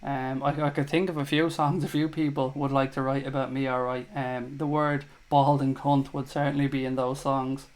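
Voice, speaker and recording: A man's voice: mid-range voice, little pitch movement, moderate pace, hardly any pauses. A man hosting a live fan chat show, reading viewer comments aloud and answering them in a blunt, jokey, sweary way.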